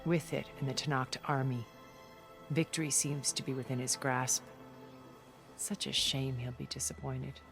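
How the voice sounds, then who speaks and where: An actress playing a worldly, regretful, scheming middle-aged woman of a far-future elite in a video game, speaking in a measured, articulate, theatrical way. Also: rumbling low, level tone, gravelly, music in the background. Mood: sly confidence. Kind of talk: dramatic video game cutscene acting.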